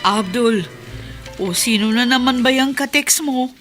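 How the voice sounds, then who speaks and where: An actress playing a nosy, gossipy wife in a radio drama. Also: female voice, a little high, a little slow, fairly bright tone, faint music behind.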